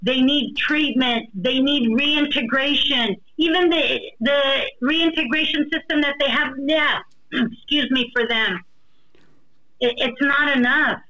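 A woman's voice: sits high, slow pace, bright, forceful tone, rough recording. A woman from the United States giving impassioned personal testimony as a guest, emphatic and repeating phrases for weight. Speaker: interview guest, wife of a deported veteran.

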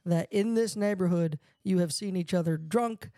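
A man's voice: sits high, normal speed, dark tone, no echo, studio quality. A man co-hosting a casual podcast, playful and riffing with jokes between points.

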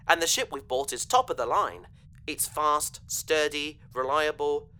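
A man's voice: a little high, measured pace, bright, forceful tone. A man playing an earnest, hesitant young space adventurer in an audio drama. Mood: earnest, hopeful.

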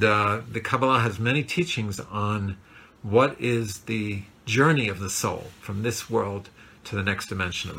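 A man's voice: lowish voice, gravelly, fairly bright tone, husky. A man giving an earnest, reflective Torah class, with many fillers and self-corrections.

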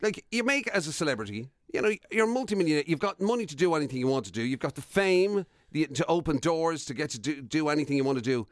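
A man's voice: on the high side, animated, quick pace, hardly any pauses, no room sound, studio quality. An Irish man co-hosting radio with casual, teasing banter and mock-probing questions. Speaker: radio co-host.

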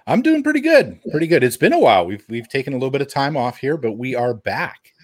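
A man's voice: medium pitch, sing-song, fast talker. A man co-hosting a podcast, giving casual, explanatory commentary in a conversational way.

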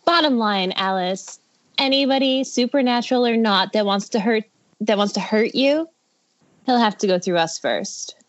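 A woman's voice: on the high side, moderate pace, close to an everyday voice. A woman playing a blunt, sardonic young model in an amateur script read, recorded over a muffled internet call. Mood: blunt, protective. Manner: dry sarcasm. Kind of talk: amateur screenplay table read.

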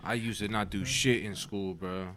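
A man's voice: lowish voice, steady tone, moderate pace, very noisy. A young man chatting casually, blunt and profane, often laughing. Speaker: podcast co-host. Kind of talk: casual chat.

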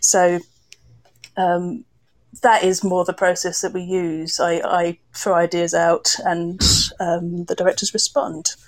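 A woman speaks in a slightly low-pitched voice as part of a panel discussion. She is a composer and sound designer explaining her craft. Her manner is reflective and hesitant, often searching for the right phrase. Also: level tone, slow, low-quality recording.

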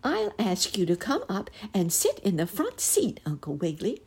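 A woman voicing a prim lady mouse schoolteacher with a squeaky voice. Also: wildly swooping pitch, moderate pace, a little mellow, breathy edge, full character voice. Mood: scolding.

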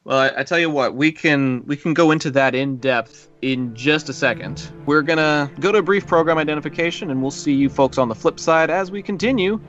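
A man hosting a casual podcast about a film, talking in an animated way with quick restarts and playful mimicked reactions. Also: fairly high pitch, lo-fi sound, faint music behind. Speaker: podcast host.

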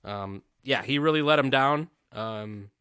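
Guy hosting a fantasy football chat, bright voice, dry sound, kinda rambly. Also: medium pitch, unhurried, smooth, no room sound. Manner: relaxed, rambling, trails off often. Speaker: podcast host. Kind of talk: casual chat.

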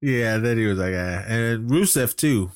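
A man's voice: medium pitch, normal speed, dry recording, clean studio sound. A middle-aged man co-hosting a podcast in casual chat, rambling and good-humoured, given to chuckling. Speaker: podcast co-host. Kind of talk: casual chat reviewing wrestling.

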